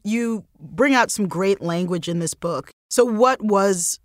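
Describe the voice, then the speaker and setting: A middle-aged woman hosting a podcast, reading a scripted intro and then asking relaxed questions. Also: medium pitch, takes it slowly, no echo, studio quality. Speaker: podcast host.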